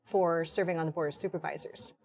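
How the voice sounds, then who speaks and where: A middle-aged woman answering as a guest in a TV studio, speaking in a measured, composed way on a lapel mic. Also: low voice, steady tone, vocal fry, darkish tone. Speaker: county supervisor, guest. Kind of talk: TV interview.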